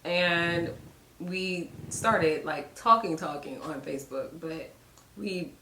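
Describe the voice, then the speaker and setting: A woman in her thirties from Virginia, hosting a relaxed, chatty couple Q&A and laughing often. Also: on the low side, takes it slowly, slight background noise. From Virginia, USA. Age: 30s. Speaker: lifestyle YouTuber host. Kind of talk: casual couple Q&A chat. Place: home room. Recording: echoey room.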